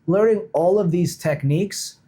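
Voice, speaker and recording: A man's voice: high-pitched, moderate pace, dark tone, slightly rough. A man talking casually as an interview guest, explaining his points informally and emphatically like a coach. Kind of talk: casual conversational interview talk.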